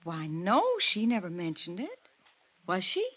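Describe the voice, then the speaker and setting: A woman's voice: fairly low pitch, big pitch swings, measured pace, husky, full character voice. A woman playing a kindly, distressed lady in a 1950s radio drama, fretful and sorrowful. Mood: surprised. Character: kindly, distressed, fretful Mrs. acquaintance of victim. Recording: dull vintage radio recording.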